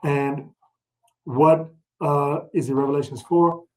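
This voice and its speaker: A man's voice: fairly high pitch, fairly even intonation, slow, a little mellow, rough recording. A man leading an informal scripture study, reading verses aloud and explaining them, often checking in with questions. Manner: explanatory, prompts listeners with questions and "right?".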